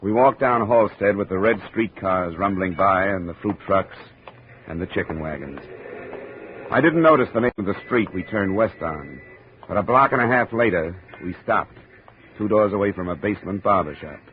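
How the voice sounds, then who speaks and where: A man's voice: low voice, lively intonation, normal speed. A man playing a cool, wry hard-boiled insurance investigator in 1950s radio drama style, speaking and narrating in a dry, terse way. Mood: matter-of-fact narration. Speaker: lead actor and narrator. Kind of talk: 1950s radio detective acting, first-person narration. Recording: noisy, tinny old radio recording.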